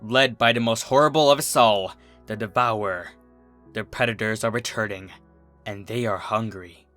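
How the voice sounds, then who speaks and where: A man's voice: on the low side, steady tone, moderate pace, a bit bright, faint music behind, natural voice. A man voicing a menacing, ghostly predator scout in a storybook reading, ominous and theatrical. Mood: ominous, threatening. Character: menacing predator spirit scout warning its prey.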